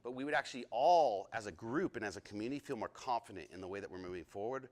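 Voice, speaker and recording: A man in his thirties teaching a leadership lesson to a group, excitable and chatty, often asking the group questions. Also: lowish voice, quick pace. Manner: excitable, talks a lot, asks the group questions.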